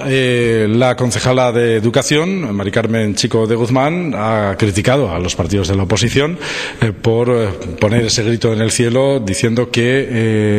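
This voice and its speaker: A man with an accent from Spain puts questions as a local TV interviewer, phrasing them in a hesitant, roundabout way. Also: medium pitch. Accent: Spanish from Spain. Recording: reverberant hall-like room.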